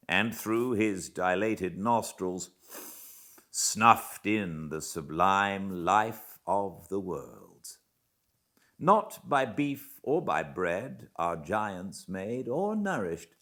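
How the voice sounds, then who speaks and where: A British man reading a classic novel aloud as an audiobook narrator, in a measured, theatrical manner with dramatic pauses. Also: low voice, fairly bright tone. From Britain. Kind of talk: expressive literary audiobook narration.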